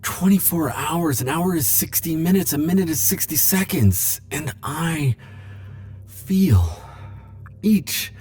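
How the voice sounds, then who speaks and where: A man playing a defensive, lovelorn immortal in an ASMR roleplay, speaking hesitantly with stammers and halting phrases. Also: a little high, lively intonation, darkish tone. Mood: confessional, yearning. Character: flirtatious, defensive male immortal pining for Grim Reaper. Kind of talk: ASMR roleplay monologue to the listener.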